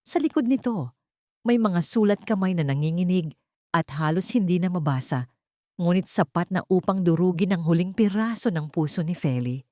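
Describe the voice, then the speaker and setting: A woman's voice: fairly low pitch, lively intonation, moderate pace, dark tone, dry recording, studio quality. A woman narrating a scripted dramatic story in a solemn, emotive manner.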